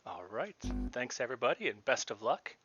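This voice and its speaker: A man's voice: medium pitch, very animated, brisk, a bit bright, slightly rough, no room sound, muffled recording. A man hosting a livestreamed board game tournament, casual, with fillers and restarts. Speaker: tournament host.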